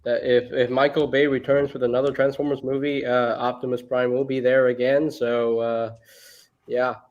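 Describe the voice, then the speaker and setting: A man's voice: medium pitch, level tone, moderate pace. A man hosting a casual livestream discussion over a dull, noisy microphone. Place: online livestream call. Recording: dull lo-fi mic with clear noise.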